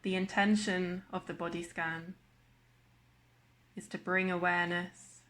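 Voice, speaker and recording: A woman guiding a body scan meditation in a slow, measured manner with long pauses. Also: on the low side, a bit bright. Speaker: meditation guide.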